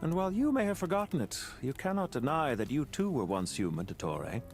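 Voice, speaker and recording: An actor playing a proud, composed, calculating magnate in a video game, with a dignified, deliberate and formal delivery. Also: male voice, fairly high pitch, very animated, darkish tone. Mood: composed, pointed. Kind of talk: scripted video game voice acting. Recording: music under the voice.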